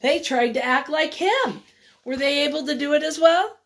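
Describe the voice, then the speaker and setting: A woman teacher leading a read-aloud lesson for young children, encouraging and instructive, pausing after simple questions. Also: high voice, a bit bright. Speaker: elementary school teacher.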